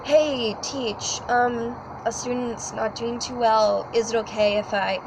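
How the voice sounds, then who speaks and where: A woman's voice: on the high side, steady tone, slow pace, noisy background. A teenage girl chatting casually about school, rambling with lots of fillers and apologies. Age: teens. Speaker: student co-host. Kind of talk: casual chat.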